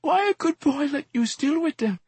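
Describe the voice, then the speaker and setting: A furious, anguished street orator in an audiobook. His voice leaps high and loud as he declaims and pleads, hammering repeated phrases in dialect. The sound is clean, close and dry. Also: expressive, takes it slowly, husky, no room sound, heavily acted. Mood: tearful pleading. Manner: impassioned, declamatory, emphatic repetition in dialect. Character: heavyset, anguished, furious male street exhorter, passionately pleading. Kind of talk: audiobook character dialogue.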